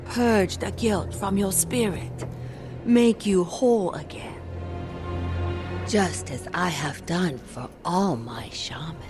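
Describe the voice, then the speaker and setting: A woman acting a coldly manipulative shamaness warlord in a video game, hushed and menacing. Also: on the low side, slow, music in the background. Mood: hypnotic, coaxing.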